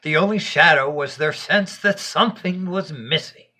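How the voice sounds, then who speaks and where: A man voicing Death as a gentle, wise, solemn storyteller in a bedtime tale. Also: a little high, moderate pace. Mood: storytelling.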